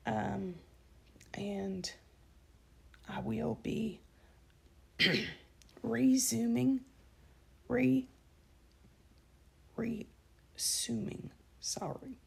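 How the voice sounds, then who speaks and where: A young woman with a dry throat gives an indignant, emphatic campaign statement, often pausing with ums and correcting herself. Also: on the high side, vocal fry, darkish tone, husky. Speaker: channel host giving a campaign statement. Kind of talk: unscripted spoken statement to camera.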